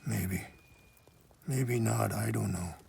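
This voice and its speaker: An actor playing a weary, dignified old chief who seeks peace, speaking in a measured, formal, reflective way in a video game. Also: male voice, lowish voice, gravelly, a little mellow, husky, full character voice. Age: older. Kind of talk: video game cutscene acting.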